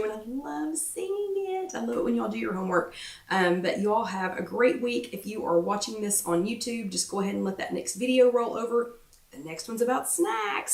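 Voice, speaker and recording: A woman with a croaky voice talks casually to her viewers as a workshop host, piling on repeats and ums. She sits back from the mic. The room adds a noticeable echo. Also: mid-range voice, recorded from a distance. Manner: chatty, repeats herself for emphasis, many ums. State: voice getting croaky. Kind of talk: casual motivational chat to viewers. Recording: roomy echo, away from the mic.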